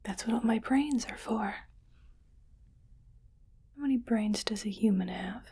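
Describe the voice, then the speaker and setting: A woman voicing a condescending female alien in an ASMR roleplay, fascinated and disgusted by humans, in a breathy, deliberate, haughty whisper. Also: a little high, mellow. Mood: fascinated disdain.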